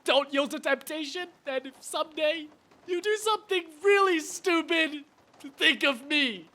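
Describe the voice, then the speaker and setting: A man's voice: extremely high pitch, unhurried, sharp tone, full character voice. A man playing a pompous, unhinged, self-important official in a radio play, declaiming in a grandiose, melodramatic way. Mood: melodramatic anguish. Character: pompous, unhinged, guilt-wracked chief dissector, theatrically self-important. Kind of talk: theatrical radio play acting.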